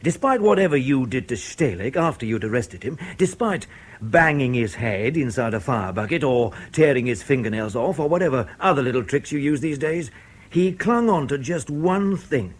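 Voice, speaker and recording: A male actor as a spy-service interrogator in a radio play, sardonic and colloquial. His pitch swings freely with a slightly husky edge, and he delivers threats as dry, deadpan understatement. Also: normal speed, natural voice. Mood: needling, menacing. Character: sardonic, menacing male British intelligence interrogator. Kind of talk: radio drama acting.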